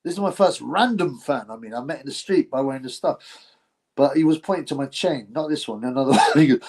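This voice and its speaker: Male streamer, casual, rambling, mid-pitched, lots of ums and chuckles. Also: quick pace, a little mellow. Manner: rambling, laughs often, frequent fillers. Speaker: boxing channel host reading chat. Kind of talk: casual live-stream chat.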